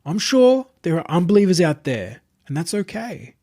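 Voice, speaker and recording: A man voicing a smooth, possessed internet idol who preaches to followers with calm, husky, grandiose assurance in an audio short story. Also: high voice, moderate pace, voice pushed up, exaggerated voice. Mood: serene, smug. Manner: calm, composed, grandiose, soothing patter to followers.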